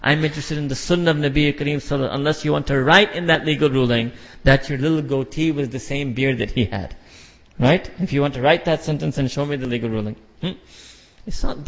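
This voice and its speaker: A man's voice: mid-range voice, fast talker. A man preaching a sermon from the front of a large hall, passionate and full of rhetorical questions. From Pakistan or India. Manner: impassioned, rhetorical questions, mixes Urdu and Arabic phrases. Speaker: Islamic preacher. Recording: dull lo-fi sound with long hall reverb.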